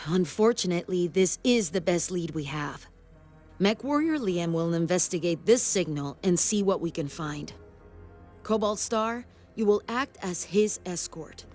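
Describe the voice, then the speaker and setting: Stern low-voiced military commander. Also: female voice, lively intonation, a little slow, darkish tone, soft background music. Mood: stern, commanding. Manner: clipped, formal, authoritative. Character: stern woman military commander of a clan mech unit. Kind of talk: video game cutscene acting.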